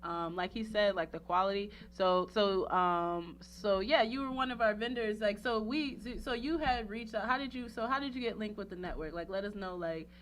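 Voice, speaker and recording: A woman's voice: mid-range voice, normal speed, hardly any pauses. Effusive, slangy woman host, noisy recording. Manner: effusive, slangy, rambling, frequently repeats herself. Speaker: radio show host and interviewer. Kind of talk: casual promotional interview chat.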